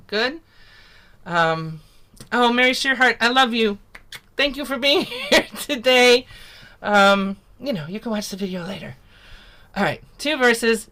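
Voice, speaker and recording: Chatty, bright-voiced woman teaching singing from home. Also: medium pitch. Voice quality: naturally loud voice. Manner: chatty, upbeat, lots of "like" and "um". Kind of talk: casual online singing lesson. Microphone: computer mic on a video call.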